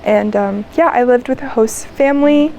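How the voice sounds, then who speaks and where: A woman's voice: a little high, moderate pace, dark tone, dull audio, a little hiss or hum. A young American woman in her twenties, answering interview questions in a casual, hesitant way, with lots of ums and likes. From United States. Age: 20s. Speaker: interview guest.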